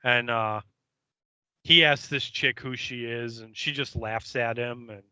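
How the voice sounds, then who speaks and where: Slow, halting man with a very sharp voice. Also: medium pitch, fairly even intonation, clear, resonant tone, no room sound, poor audio. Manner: halting, hesitant, foul-mouthed. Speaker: podcast co-host. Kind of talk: casual episode recap.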